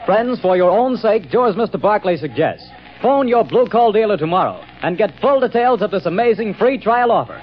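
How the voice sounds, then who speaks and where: Male announcer, vintage radio advertising, high-pitched, formal and emphatic, muffled old broadcast recording. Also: sing-song, fairly quick.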